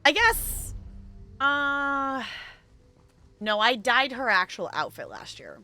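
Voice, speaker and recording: Chatty girl streamer, woman w bright kinda high voice, rambly and giggly, bit of music in the back. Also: sing-song, takes it slowly. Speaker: live game streamer. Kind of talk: casual chat with stream viewers.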